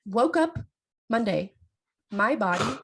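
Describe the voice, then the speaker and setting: A woman's voice: fairly high pitch, animated, slow pace, breathy edge. A congested young woman co-hosting a casual podcast, playful and agreeable as she tells stories. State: congested from a cough. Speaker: podcast co-host. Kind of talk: casual chat.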